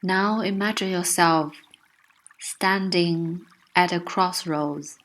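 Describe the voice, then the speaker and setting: A woman narrating a guided meditation with gentle, soothing, unhurried instruction. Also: lowish voice, fairly even intonation.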